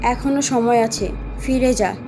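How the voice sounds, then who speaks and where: A woman's voice: a little high, unhurried. A woman voicing a stern, mysterious ghostly woman in a cartoon horror story, with a harsh, commanding and ominous manner. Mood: ominous warning. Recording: music behind the voice.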